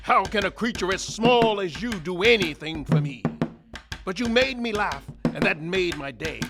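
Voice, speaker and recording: A man's voice: sits high, expressive, moderate pace. A man voicing a proud, haughty lion king in a theatrical storybook radio drama. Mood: amused, scornful. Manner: grand, theatrical. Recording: loud music behind.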